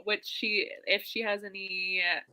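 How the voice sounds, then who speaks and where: A woman's voice: medium pitch, little pitch movement, a little slow, sharp tone, low-quality recording. A woman co-hosting a book discussion live stream, chatting casually in an eager, giggly way. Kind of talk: casual chat.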